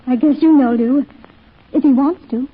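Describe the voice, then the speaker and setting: An American actress playing a gentle, hospitable grieving mother with homely courtesy in a 1940s radio drama. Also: high voice, normal speed, breathy edge. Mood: welcoming. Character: gentle, hospitable middle-aged mother grieving her son. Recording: tinny old radio broadcast, faint music.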